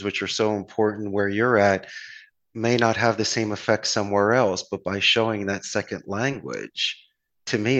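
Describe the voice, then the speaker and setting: A man with a Philadelphia accent giving friendly, rambling advice in a casual chat. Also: lowish voice. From Philadelphia area, United States. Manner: animated, folksy, encouraging, rambling with restarts.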